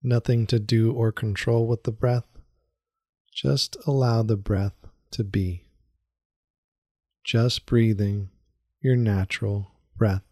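A man leading a guided meditation in a soothing, unhurried manner with long pauses and repeated phrases. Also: on the low side, fairly even intonation, mellow, dry recording, studio quality. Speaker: meditation guide, yoga teacher. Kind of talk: guided meditation, calm instruction.

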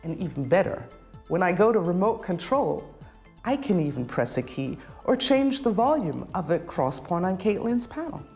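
A middle-aged woman giving scripted instructional narration as a tutorial presenter, enunciating clearly step by step. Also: on the low side, very animated, normal speed, dark tone. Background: background music.

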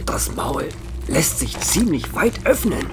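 A man's voice: a little high, very animated, slow pace, noisy background. A man acting a grim, alarmed investigator in a horror audio drama. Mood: grim unease. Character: alarmed male investigator examining a monster carcass. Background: eerie background music.

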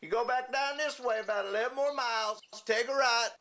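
A man's voice: extremely high pitch, fairly quick, sharp tone, slight background noise. A man playing a friendly, rambling rural local with a Southern US accent and a folksy, repetitive way of talking in a drama. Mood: helpful, folksy. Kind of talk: acted drama dialogue.

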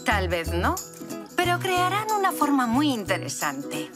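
A woman voicing a patient, gentle, encouraging zebra mother in a children's cartoon dub. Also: sits high, wildly swooping pitch, measured pace, loud music behind the voice, exaggerated voice.

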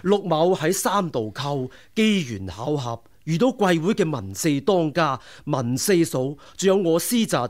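A man's voice: on the high side, very animated, a little slow, few pauses. A man with a Hong Kong Cantonese accent playing an elderly martial arts master in a radio drama, humble and formal in his speech. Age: older. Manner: humble, polite, speaks in old formal phrases. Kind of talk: 1990s radio drama acting.

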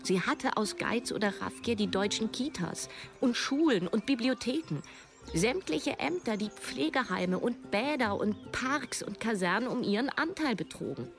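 Creaky-voiced wry woman narrator. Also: on the high side, expressive, moderate pace, music in the background. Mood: indignant. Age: 30s. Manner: reflective, thinking aloud. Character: woman writer in her early thirties, wry, brooding narrator. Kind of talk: first-person radio drama narration.

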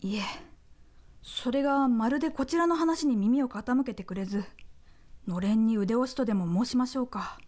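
A woman playing a composed, courteous royal envoy in a radio drama, with very polite, measured, deferential speech. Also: a little high, a little mellow, natural voice. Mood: puzzled deference. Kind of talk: radio drama acting, formal diplomatic speech.

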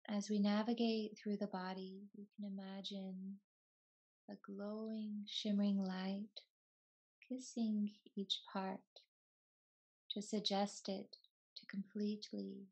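Yoga teacher lady doing guided meditation, super slow n soothing, flat even tone, bit muffled lo-fi audio. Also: mid-range voice, gravelly, slightly rough. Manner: soothing, unhurried, short phrases with long pauses.

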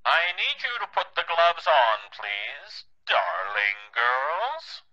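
Evil gloating dad on an old tape, slow and sharp, super swoopy, horror drama. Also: male voice, a little high, wildly swooping pitch, lo-fi sound, exaggerated voice. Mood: smugly commanding. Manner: mocking, theatrical, chuckling. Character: gloating, sadistic dead father, playing games with his daughters. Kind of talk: audio horror drama acting.

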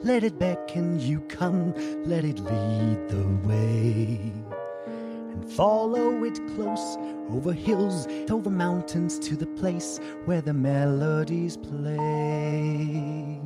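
A woman playing a solemn storybook narrator, speaking in slow rhymed verse. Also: low voice, animated. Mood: ominous. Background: music.

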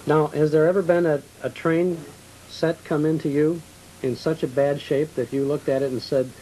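A middle-aged man from the studio host mould, speaking. His voice sits a little high for a man, and he presents in the manner of a local television host. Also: flat delivery, normal speed, dark tone, slight background noise, rough recording. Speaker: TV show host. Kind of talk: hosting interviews and reading shop promos.

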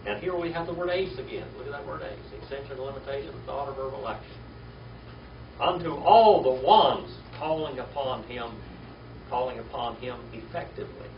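An older man teaching a Bible class from a podium in a wood-panelled classroom, drilling Greek words aloud and often checking in with "all right?". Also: mid-range voice. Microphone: podium mic from far camera. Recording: roomy, distant, dull, noisy classroom video.

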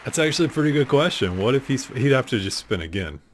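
A man in his thirties with a deep voice, casually commenting while he plays a game show video game at his desk at home. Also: very animated, fast talker, a faint crowd, slight background noise. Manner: laid-back, joking, thinking aloud. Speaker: streamer.

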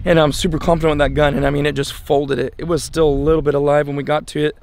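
A man's voice: fairly high pitch, little pitch movement, fast talker, a little mellow. An American man in his forties hosting a casual hunting vlog, explaining things plainly and informally outdoors. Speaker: hunting channel host. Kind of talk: casual product test vlog. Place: outdoors in an open dry yard. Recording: clear outdoor ambient noise.

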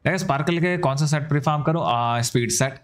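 A man's voice: on the high side, very fast, clean tone. A young man with an Indian accent chatting casually on a game livestream, mixing in English words. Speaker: game streamer.

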